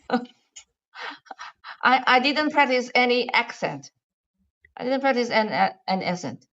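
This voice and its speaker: A woman who is a native Chinese speaker with a Chinese accent, chatting casually in halting English and often repeating words. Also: fairly high pitch, lively intonation, fairly bright tone. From China. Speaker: medical device regulatory consultant. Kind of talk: casual language-practice chat. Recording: dull lo-fi online call audio.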